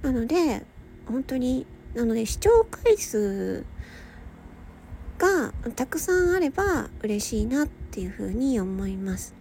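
A woman with a high, lively, swooping voice that has some creak in it. She talks casually and solo to her listeners in a hesitant, meandering, giggly way, tired and a little slurred. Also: measured pace, a little hiss or hum. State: tired, slightly slurred speech at night. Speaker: audio channel host and hobby voice performer. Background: soft background music or ambience.

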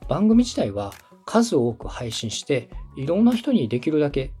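Polite male coach with lively, varied intonation. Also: fairly high pitch, moderate pace, husky, soft background music. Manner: polite, instructive, with step-by-step explanations. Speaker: podcast host and coach, a radio director. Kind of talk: structured explanatory coaching on podcast production.

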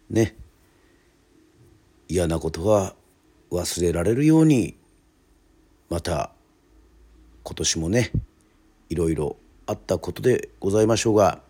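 A man hosting and narrating a radio drama, speaking in polite, formal, measured storyteller phrasing. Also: low-pitched, expressive, warm tone, slightly rough.

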